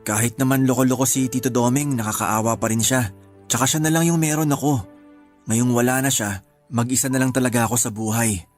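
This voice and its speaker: An actor playing a quiet young man mourning the uncle who raised him. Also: mid-range voice, fairly even intonation, a little fast, faint music behind, close to an everyday voice. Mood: sad.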